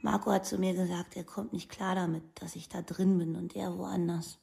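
A woman's voice: fairly low pitch, level tone, moderate pace, vocal fry, warm tone. An actress playing a devoted, wistful woman of twenty-six, talking in plain short phrases in a documentary radio play. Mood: troubled. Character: devoted, wistful 26-year-old girlfriend of a prisoner.

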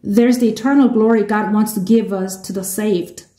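A woman's voice: medium pitch, fairly even intonation, dark tone. Woman interpreting a sermon in a roomy hall. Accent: Korean. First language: Korean. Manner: measured, halting interpreting with restarts. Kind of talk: live English interpretation of a sermon.

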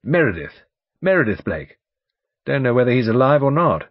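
A man's voice: mid-range voice, big pitch swings, moderate pace, no echo, highs cut off, voice pushed up. A man voicing a bluff, urbane and overbearing English barrister in an audiobook, breezy, emphatic and chatty. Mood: breezy, animated. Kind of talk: audiobook character dialogue.

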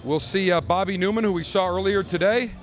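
A middle-aged man hosting a live walkthrough of a trade show, joking and bantering brashly with guests into a handheld mic in a large convention hall. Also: high voice. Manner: brash, joking, fast-talking showman. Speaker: radio show host touring a trade show. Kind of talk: live roving interviews and walkthrough. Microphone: handheld interview mic.

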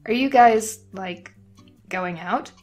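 A woman's voice: medium pitch, slow pace, darkish tone, soft background music. A woman voicing a dry, teasing, friendly teenage schoolgirl in a comic dub.

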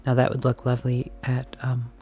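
A woman filming herself as she browses a large antique and thrift shop, chatting casually and musing aloud, sometimes dropping to a soft whisper. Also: rumbling low, completely flat delivery, moderate pace. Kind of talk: casual walk-and-talk commentary. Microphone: handheld camera mic, filming as she walks.

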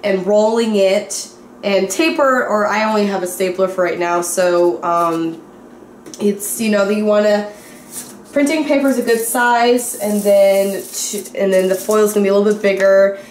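A woman's voice: mid-range voice, moderate pace, a little mellow. Young woman, bubbly home-cook vlogger, echoey kitchen, faint room hum. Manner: bubbly and dramatic. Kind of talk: casual cooking vlog.

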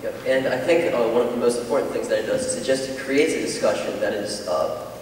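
A teenage male student giving a presentation in a halting way in a large hall. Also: mid-range voice. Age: teens. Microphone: far camera. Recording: very echoey hall, distant, faint noise.

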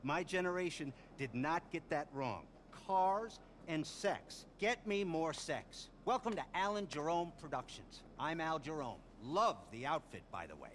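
Manic high-pitched Hollywood producer. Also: male voice, animated, unhurried, hardly any pauses, fairly bright tone, slight background noise. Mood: pushy, scheming. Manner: gushing, rambling, sudden swoops. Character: manic, vain middle-aged Hollywood show producer.

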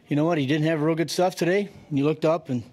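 Middle-aged man, team manager, halting press-room answers. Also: a little high, steady tone, darkish tone. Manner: halting, restarts sentences often. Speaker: baseball manager. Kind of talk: post-game press conference. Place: press room with sponsor backdrop. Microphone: podium microphone.

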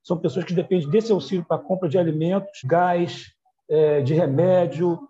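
Casting: male community leader, Rio accent, high mellow voice, halting speech-giving over lo-fi call. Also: steady tone. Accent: Rio de Janeiro. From Rio de Janeiro, Brazil. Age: middle-aged. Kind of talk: thank-you speech on a video call. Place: small room on a video call. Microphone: wired earphone mic. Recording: dull, lo-fi video call audio.

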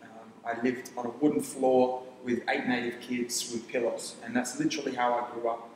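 A man's voice: medium pitch, flat delivery, a little hiss or hum. A young man who grew up in Papua New Guinea, speaking Tok Pisin as his first language, casually explaining his startup in an interview and rambling through lists with frequent restarts. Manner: rambling, restarts phrases, lists ideas rapidly. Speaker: startup founder. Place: studio set with armchairs. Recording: echoey room with long reverb.

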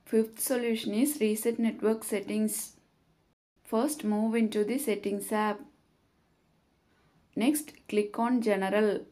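A woman reading scripted step-by-step tutorial narration in a measured, instructional manner. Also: medium pitch, steady tone, dark tone.

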